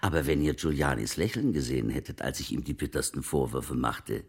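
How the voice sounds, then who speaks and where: An actor in a German radio drama playing a wily, wealthy Italian merchant of old, with a gravelly, husky voice and a theatrical, insinuating delivery. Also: male voice, a bass voice, expressive, a little fast, heavily acted. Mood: grave, bitter.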